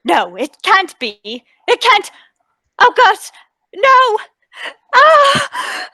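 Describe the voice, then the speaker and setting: Woman playing a frantic maid, high swooping voice, gasping, halting, melodrama, radio play. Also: huge pitch swings, sharp tone, no room sound, full character voice. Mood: horrified. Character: hysterical young maid discovering horror. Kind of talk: radio play table read.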